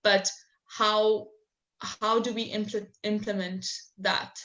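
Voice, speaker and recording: A woman's voice: mid-range voice, flat delivery, slow, fairly bright tone, lo-fi sound. Young woman hosting a video call q&a, lots of ums, reads out questions, kinda hesitant. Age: young adult. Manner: hesitant, many ums, relaying audience questions. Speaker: host and moderator. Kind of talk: webinar interview.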